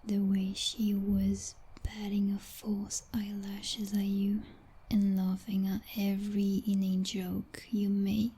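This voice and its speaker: A woman's voice: mid-range voice, completely flat delivery, takes it slowly, warm tone, husky, heavily acted. A woman playing a jealous, possessive vampire girlfriend in an ASMR roleplay, whispering breathily in a teasing, commanding and condescending way. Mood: jealous, scornful.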